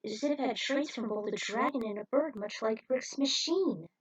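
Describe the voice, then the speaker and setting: A woman's voice: fairly high pitch, fairly quick, breathy edge, highs cut off. A woman narrating a scripted adventure story in a dramatic read-aloud manner, with the occasional stumble. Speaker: narrator reading own fan fiction.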